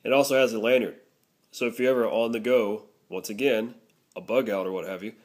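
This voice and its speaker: A man's voice: fairly low pitch, steady tone, brisk. A man narrating a casual product review, explaining things step by step.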